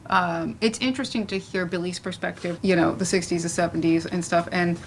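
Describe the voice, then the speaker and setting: A woman's voice: on the low side, fairly even intonation, a little fast, slight background noise, poor audio. A woman moderating a panel discussion in an informal, chatty way, often saying "like" and "you know".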